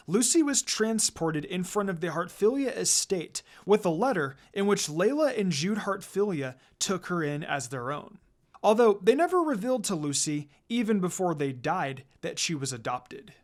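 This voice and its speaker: A man reading fan fiction aloud as a scripted story narration. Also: high voice.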